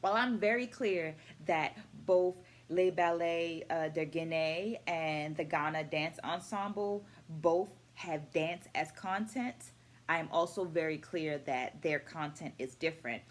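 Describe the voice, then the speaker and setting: A middle-aged woman presenting a scripted book review to camera as an educator, in a measured, articulate way. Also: low-pitched. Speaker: host, dance educator. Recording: clearly noisy room ambience.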